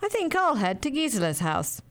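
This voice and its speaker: Woman, radio reporter, scripted narration, lively pitch, slightly high. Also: normal speed.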